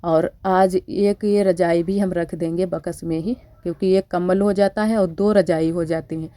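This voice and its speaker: Young village housewife vlogger, low-ish mellow voice a bit thick from a cold, chatty and homely, dry close recording. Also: female voice, steady tone, normal speed, no echo. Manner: chatty, homely, repeats fillers. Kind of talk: casual narration over daily chores. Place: village home.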